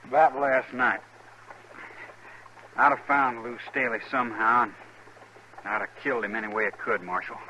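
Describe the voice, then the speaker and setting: An American actor playing an anxious, plain-spoken young husband in a 1950s radio western. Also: male voice, medium pitch, fairly even intonation, normal speed, pauses often, pushed and bright, natural voice. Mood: sheepish, grateful. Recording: noisy vintage 1950s radio recording.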